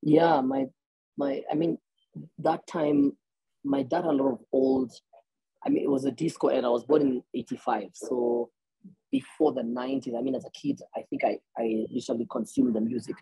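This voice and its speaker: Kenyan man in his 30s, gravelly, husky, mellow voice, rambling answers, earbud mic on video call. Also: mid-range voice, very animated, normal speed, no room sound, poor audio. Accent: Kenyan English. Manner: rambling, many restarts and fillers, laughs easily. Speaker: guest musician. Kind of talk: conversational interview answers. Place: small room indoors.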